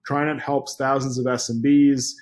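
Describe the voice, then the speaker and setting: A man hosting a video interview series, reading a sponsor note and asking questions in a quick, upbeat way with fillers. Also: medium pitch, little pitch movement, a little mellow. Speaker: podcast host.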